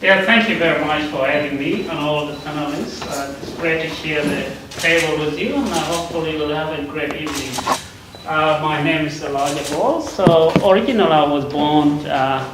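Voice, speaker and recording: A man from South Sudan, a community worker, giving panel remarks in a measured way with pauses. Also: fairly high pitch. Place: community forum venue. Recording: echoey hall, light noise.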